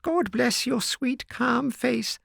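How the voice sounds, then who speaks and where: A man voicing a frightened elderly housekeeper woman, shrill and quavering. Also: takes it slowly, creaky, a bit bright, breathy edge, no room sound, clean studio sound, exaggerated voice. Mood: tearful. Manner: high quavering pleading.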